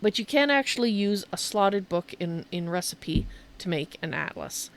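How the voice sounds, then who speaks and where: A woman's voice: mid-range voice, animated, moderate pace, poor audio. A woman giving a casual, chatty game tour as a streamer, thinking aloud as she shows things off. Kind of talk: casual gameplay commentary and show-and-tell.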